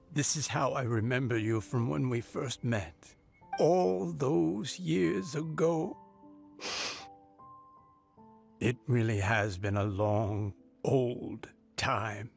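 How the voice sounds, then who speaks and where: Sweet old man in love, halting n super slow, video game acting, bit of music. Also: mid-range voice, vocal fry. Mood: moved, tender.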